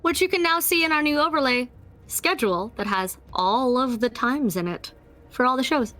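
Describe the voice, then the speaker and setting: A woman's voice: high-pitched, expressive, normal speed, a bit bright. A woman co-hosting a gaming stream, giving casual, enthusiastic and effusive announcements. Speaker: co-host of a tabletop gaming stream.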